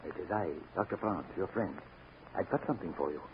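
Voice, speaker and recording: An actor playing a friendly, eccentric older doctor-chemist in a 1940s radio drama. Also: male voice, low-pitched, brisk, close to an everyday voice. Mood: urgent, confiding. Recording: tinny, noisy 1940 radio recording.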